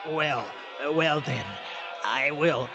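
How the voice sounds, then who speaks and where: Suffering undead gentleman, slow halting delivery, formal, solemn, highish voice, radio play. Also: dull audio, music in the background. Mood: grave, explaining. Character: polite, suffering undead skeleton cafe manager, male. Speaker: voice actor in a radio drama. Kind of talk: old-time radio horror-comedy acting.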